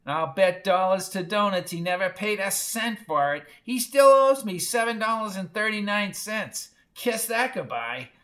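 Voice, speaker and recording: A man voicing a sneering, sharp-tongued little old woman with a scratchy, screechy voice. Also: high-pitched, lively intonation, measured pace, few pauses, voice pushed up, heavily acted. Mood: sneering, scornful.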